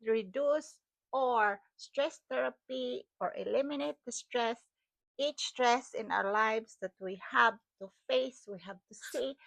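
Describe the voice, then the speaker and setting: A woman's voice: fairly high pitch, slow pace, vocal fry, a bit bright, dry recording, poor audio. A middle-aged Filipina woman with a Cebuano accent, a wellness vlogger rambling casually to camera, repeating her phrases and laughing often. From Philippines.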